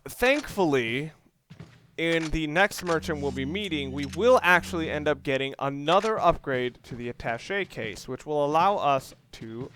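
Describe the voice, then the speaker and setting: Higher-pitched man doing casual game commentary. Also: expressive, normal speed, a bit bright, slight background noise. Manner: rambling, thinks aloud, explains tactics. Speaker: let's play host. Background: game music and sounds.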